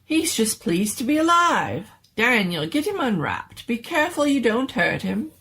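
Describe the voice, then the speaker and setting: A woman voicing an excitable, doting little male mad scientist in a storybook reading, fussy and full of proud exclamations. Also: on the high side, measured pace, husky, pitched above the performer's own voice, heavily acted. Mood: excited, delighted. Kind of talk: dramatic reading of a horror-comedy story.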